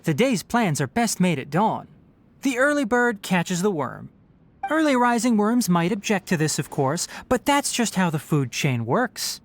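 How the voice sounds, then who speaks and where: A woman voicing a witty, scholarly young fox-eared forest ranger in a game, speaking articulately with a lecturing, gently teasing manner. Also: mid-range voice, very animated, unhurried. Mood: bright, wry. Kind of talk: scripted game character voice lines.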